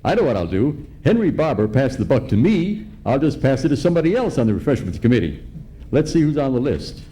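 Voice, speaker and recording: A man plays a pompous middle-aged gentleman of old-time radio comedy. His tone is mellow and his pitch swings widely as he blusters emphatically through every line. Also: very animated, fairly quick, close to an everyday voice. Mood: flustered. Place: live on stage in a large hall.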